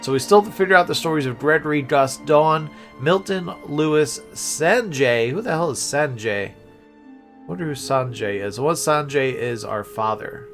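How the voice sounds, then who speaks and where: A man doing casual livestream game commentary, musing aloud haltingly and breaking into exclamations. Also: a little high, lively intonation, a bit bright, soft background music. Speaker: game streamer.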